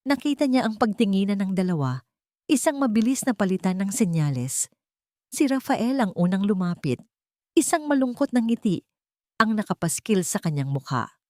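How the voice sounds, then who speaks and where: A woman's voice: mid-range voice, sing-song, normal speed, mellow, no room sound, clean studio sound. A woman with a Filipino accent reading a scripted dramatic story as narrator.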